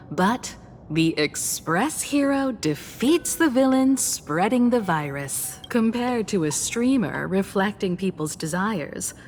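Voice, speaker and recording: An actress playing a composed, enigmatic female guide in an anime game, measured and knowing, with sly humour. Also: mid-range voice. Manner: measured, knowing, quietly teasing.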